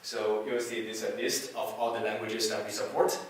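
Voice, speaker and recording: A man whose first language is Chinese gives a technical talk to a meetup audience, speaking haltingly with many fillers and restarts. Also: on the low side, little pitch movement. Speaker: conference speaker. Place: meetup presentation room. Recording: echoey hall from far off, faint hiss.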